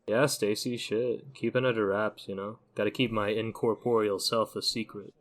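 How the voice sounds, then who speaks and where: A man playing a cool, laid-back, sardonic teenage boy with deadpan, casual delivery in a fan comic dub. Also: lowish voice, moderate pace, lightly acted.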